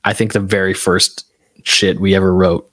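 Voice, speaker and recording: A man's voice: sits low, level tone, normal speed, fairly bright tone, no room sound, studio quality. A man talking casually and in a laid-back, slangy way as an interview guest on a podcast. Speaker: podcast guest, band musician.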